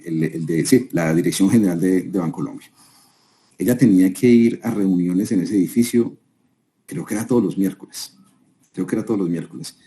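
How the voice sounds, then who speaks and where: Colombian man with a very dark voice. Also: deep voice. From Colombia, Quindío. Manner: conversational, asks the audience rhetorical questions. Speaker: speaker at a business seminar. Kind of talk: live talk to an audience. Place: room with a live audience.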